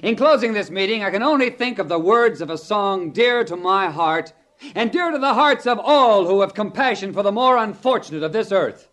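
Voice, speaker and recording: Vain singing star, grandiose and affected, high swooping voice, old radio show. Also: male voice, expressive, moderate pace, a bit bright. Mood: grandiose oratory. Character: vain, self-important male star singer. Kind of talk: 1950s radio drama acting. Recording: vintage 1950s radio recording.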